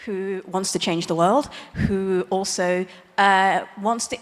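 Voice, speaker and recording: A middle-aged woman lawyer speaks from a conference stage in a chatty, self-deprecating way, dropping small laughs and fillers. Her voice sits at an ordinary middle pitch. The sound carries the long reverb of a large hall. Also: fairly even intonation, slow. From Dutch, Hong Kong, Chinese background; lives in the UK. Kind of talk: conference talk.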